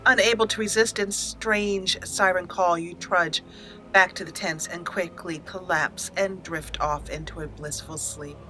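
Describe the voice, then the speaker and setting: A woman hosting a let's play, narrating it as an expressive storyteller and speaking for her character. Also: on the low side, a little slow, faint music behind, poor audio. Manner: expressive storyteller, acting out lines. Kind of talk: roleplay storytelling over gameplay.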